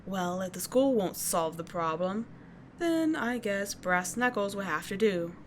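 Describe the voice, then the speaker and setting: A tough, sardonic teenage delinquent girl with a kind streak, acted by a woman in an audio roleplay. She speaks at a medium pitch in a casual, confiding way, blunt and halting by turns. Faint ambient noise sits behind her. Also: lively intonation, lightly acted. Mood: indignant, sardonic. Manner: casual, sweary, halting confiding talk with soft asides. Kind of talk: scripted audio roleplay addressed to the listener.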